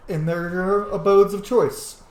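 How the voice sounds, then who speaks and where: A man's voice: high voice, fairly even intonation, slow. A man hosting a casual game roleplay stream, chatty and swearing freely. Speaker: gaming roleplay host. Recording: echoey room with faint noise.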